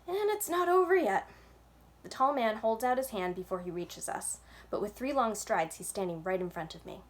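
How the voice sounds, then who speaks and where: A woman reads a children's book aloud in the manner of a library storyteller. Her voice sits at an average pitch for a woman as she delivers the scripted narration. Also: fairly quick.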